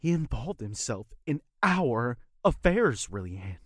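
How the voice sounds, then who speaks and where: A woman playing an earnest, wounded young man pleading with a former love in an audio drama, husky and intense. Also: extremely low pitch, sing-song, unhurried, no echo, studio quality, exaggerated voice. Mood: urgent, warning.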